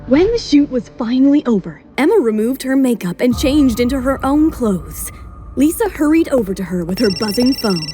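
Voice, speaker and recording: A woman's voice: high-pitched, animated, normal speed, mellow, faint music behind. A woman narrating a scripted romance drama as its storyteller.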